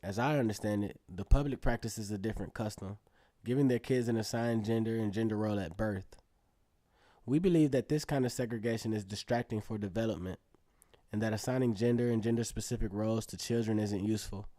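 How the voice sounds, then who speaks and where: A man's voice: lowish voice, a little fast, warm tone, dry recording, studio quality, natural voice. An actor playing an earnest teenage acrobat who narrates his story in a reflective, conversational way in a radio drama. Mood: matter-of-fact. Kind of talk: first-person narration in an Afrofuturist radio drama.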